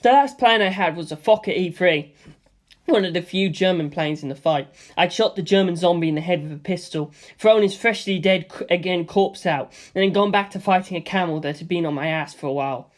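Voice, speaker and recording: A man narrating a first-person action story as an amateur audiobook reader, in an animated style. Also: high-pitched, a little fast.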